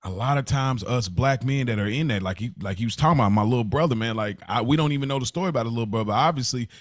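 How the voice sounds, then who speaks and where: A man's voice: mid-range voice, no echo, clean studio sound. A middle-aged American man speaking casually and earnestly as a podcast co-host, repeating phrases for emphasis. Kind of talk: casual, heartfelt conversation.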